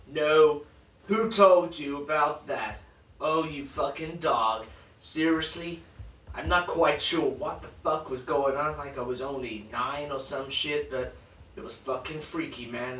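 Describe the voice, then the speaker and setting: A man playing a profane, bullying spoiled son of a crime boss, in a dark comic drama. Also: a little high, slight background noise. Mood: low, confiding. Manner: profane, aggressive, ranting. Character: foul-mouthed bullying spoiled heir of a crime boss father. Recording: roomy echo, distant microphone.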